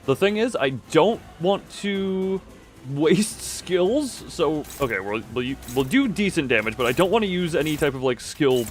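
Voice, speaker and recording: A man's voice: fairly high pitch, lively intonation, normal speed. A man giving casual live game commentary, thinking aloud in mutters and sudden exclamations as he talks to himself and his chat. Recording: music playing behind the voice. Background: game music.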